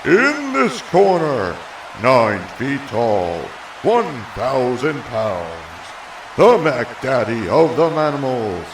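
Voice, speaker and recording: Man playing a slow, grandiose ring announcer, far off. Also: mid-range voice, very animated, background noise. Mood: rousing. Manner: drawn-out, showy calls.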